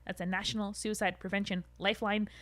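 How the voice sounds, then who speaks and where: A woman's voice: mid-range voice, level tone, fast, a bit bright, dry recording. A woman talking casually as the co-host of a podcast.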